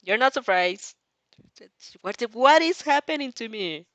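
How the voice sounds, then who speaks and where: A woman reading fan fiction aloud in an expressive way, breaking off for casual reactions and restarts. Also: on the high side, unhurried, dry recording, poor audio.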